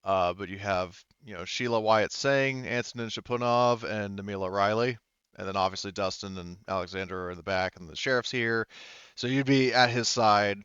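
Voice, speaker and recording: A man acting as game master for a tabletop roleplaying game, explaining rules and scenes in a halting, conversational way on a close microphone. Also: fairly low pitch, fairly bright tone, clean tone, no room sound, muffled recording.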